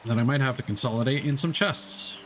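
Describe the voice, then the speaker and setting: A man's voice: medium pitch, brisk, music in the background. A man doing casual live gameplay commentary, chatty and thinking aloud. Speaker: game streamer.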